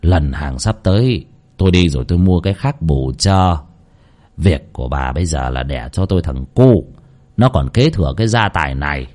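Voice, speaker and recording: A man voicing a hot-tempered older male head of a household who scolds gruffly. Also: a bass voice, expressive, moderate pace, darkish tone, pitched below the performer's own voice. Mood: coaxing lewd.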